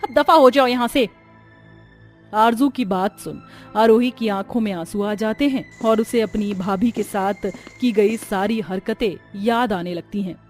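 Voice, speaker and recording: A woman's voice: mid-range voice, unhurried, a little mellow, soft background music. A woman narrator reading a scripted cartoon family story.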